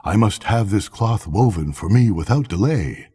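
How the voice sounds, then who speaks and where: A man's voice: fairly low pitch, animated, normal speed, mellow, husky. A man voicing a vain, self-assured emperor who muses pompously in a storybook. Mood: eager.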